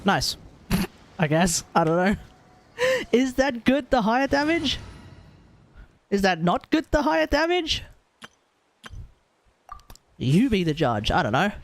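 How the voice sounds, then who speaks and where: A man's voice: high-pitched, big pitch swings, takes it slowly, pauses often. An Australian man giving casual, rambling game commentary on a livestream, often talking to himself.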